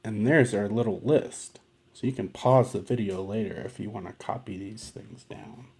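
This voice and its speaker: A man hosting a library storytime, chatting casually and simply to young children in a gentle, encouraging way. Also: on the low side, moderate pace, gravelly, breathy edge.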